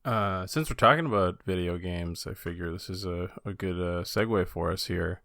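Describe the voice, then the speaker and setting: Deep, creaky-voiced man. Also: normal speed, noisy background. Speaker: podcast co-host. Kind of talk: casual podcast chat.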